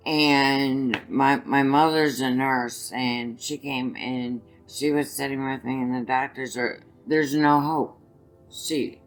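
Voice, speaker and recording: An older woman with a raspy voice, telling her own story as an interview guest, halting and restarting as she goes. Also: rumbling low, rough recording. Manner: halting, restarts often while recounting.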